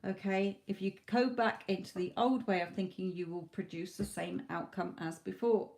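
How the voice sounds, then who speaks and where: A woman giving live tarot readings to viewers, talking reassuringly, her voice going a little hoarse from hours of talking. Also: mid-range voice, fairly even intonation, normal speed, a little mellow. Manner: reassuring, calls viewers "my lovely". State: losing her voice, a bit hoarse. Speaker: tarot reader.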